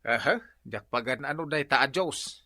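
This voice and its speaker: A man's voice: mid-range voice, unhurried, pushed and bright, dry recording, studio quality. A man with an Ilocano accent playing a stubborn, grumbling old husband in a comedy radio drama. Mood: puzzled, doubtful.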